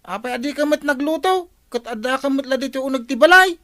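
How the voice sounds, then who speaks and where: A woman's voice: sits high, lively intonation, fast, fairly bright tone. A woman playing a hungry, complaining school-age child in an Ilocano comedy radio drama.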